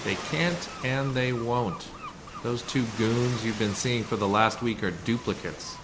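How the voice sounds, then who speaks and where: A man playing a calm, assured undercover rescuer in a sci-fi radio play, speaking in a measured, explanatory way. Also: medium pitch, lightly acted. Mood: calm, explaining. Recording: noisy community radio drama recording.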